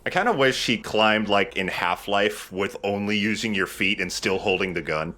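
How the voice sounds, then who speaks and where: Man, low forceful bright voice, enthusiastic casual game commentary, faint noise behind. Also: animated, normal speed. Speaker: let's play host and player.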